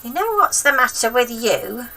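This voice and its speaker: A woman's voice: fairly high pitch, very animated, normal speed, bright, forceful tone, recorded from a distance, a little hiss or hum, pitched above the performer's own voice, full character voice. A woman voicing a selfish, grumpy old grandmother from a children's storybook, snappish, scolding and demanding. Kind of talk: acted children's storybook reading.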